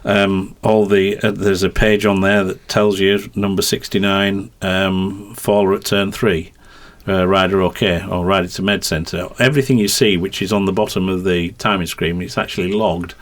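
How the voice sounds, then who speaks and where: Middle-aged Yorkshire-tinged man, lowish voice, rambling detailed talker. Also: flat delivery, moderate pace, few pauses. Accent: Yorkshire twang. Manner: rambling, hesitant, detail-heavy explanations. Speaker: racing commentator and guest. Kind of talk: podcast conversation.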